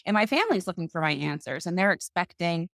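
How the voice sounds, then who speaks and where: A woman, a podcast guest telling her career story in a confident, deliberate way. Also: on the low side, animated, vocal fry, fairly bright tone, no echo, studio quality. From Boston, US. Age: 30s. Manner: confident, deliberate, slows for emphasis. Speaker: podcast guest, financial coach. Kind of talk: interview storytelling.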